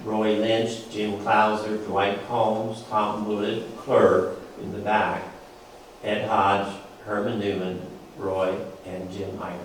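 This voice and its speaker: An American man with a low, very flat voice reads out items from the audience. He sounds far from the mic in a highly echoey room. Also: slow. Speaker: audience member at a local history event. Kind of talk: reading out a list of names. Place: indoor meeting room. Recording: echoey room, distant mic, faint noise.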